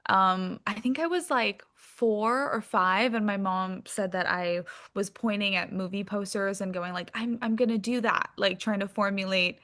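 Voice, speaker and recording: A woman from Hong Kong and England, an actress being interviewed, telling her stories in an animated, informal, self-deprecating way. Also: mid-range voice, moderate pace, few pauses. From Hong Kong and England, born in US. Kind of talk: casual interview chat.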